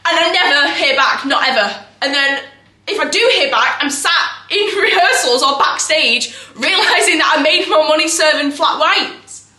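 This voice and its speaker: A woman's voice: high-pitched, lively intonation, normal speed, pushed and bright. A woman performing a theatrical monologue as a restless, self-doubting young actress, raw and defensive. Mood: wry, resigned. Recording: echoey room recording.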